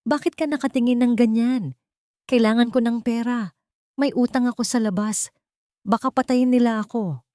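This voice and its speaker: A spiteful, desperate drunken big sister from an audio drama, acted out by a female narrator. She is agitated and defensive, throwing blame in a raised pitch, recorded dry. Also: fairly even intonation, normal speed, long pauses, mellow, no echo, close to an everyday voice. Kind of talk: audio drama acting in Tagalog storytelling.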